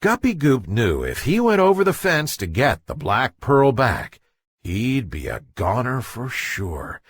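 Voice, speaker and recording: Guy reading a bedtime story for kids, slightly rough husky voice, slow, super dramatic. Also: medium pitch, wildly swooping pitch, dry recording. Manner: dramatic, builds suspense. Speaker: children's storyteller. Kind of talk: expressive scripted bedtime story narration.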